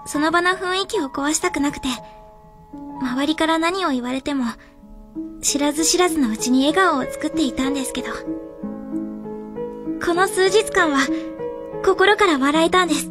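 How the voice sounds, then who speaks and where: Woman acting a high-pitched, shy schoolgirl over music. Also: moderate pace, pauses often, natural voice. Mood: wistful, tender. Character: shy, apologetic high school girl reading farewell letter. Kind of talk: radio drama monologue, reading a letter.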